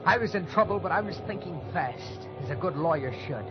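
Actor as tense, high-voiced man in radio suspense. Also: animated, fairly quick, close to an everyday voice. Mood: tense narrating. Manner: tense, stammering when pressed. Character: panicked young man narrating his own desperate cover-up. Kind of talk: old-time radio suspense acting, first-person narration. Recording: dull old radio recording, loud music.

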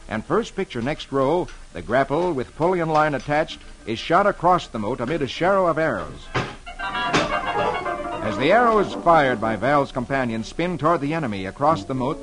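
Man playing a jolly, animated radio storyteller for children. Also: mid-range voice, natural voice, low-quality recording. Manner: theatrical, emphatic, addresses children directly. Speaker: host reading newspaper comics to children. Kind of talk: old-time radio children's storytelling, dramatic narration. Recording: old radio broadcast with music behind.

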